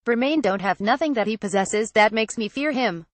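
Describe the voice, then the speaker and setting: A woman's voice: fairly high pitch, animated, normal speed, dry recording. Synthetic female narrator, very dry sound. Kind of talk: scripted reading of a transcript.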